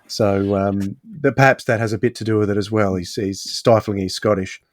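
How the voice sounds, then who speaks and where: A man hosting a casual podcast discussion, speaking in halting, reflective phrases. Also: fairly low pitch, fairly even intonation, no echo.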